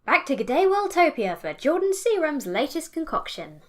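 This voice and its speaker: A woman playing a brisk, exasperated show presenter in an audio comedy, impatient in manner. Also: sits high, big pitch swings.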